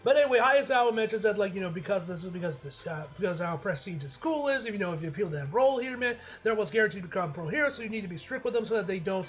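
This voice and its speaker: A man giving a casual, rambling episode review as an excitable fan, with slangy, profane talk. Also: high voice. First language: English. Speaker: anime reviewer.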